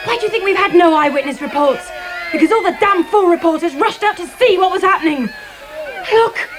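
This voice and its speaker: An actress playing a romantic, headstrong wife in a radio drama, theatrical and intense. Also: female voice, very high voice, animated, a little fast, crowd noise. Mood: frightened. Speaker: actor. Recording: radio drama with background effects noise.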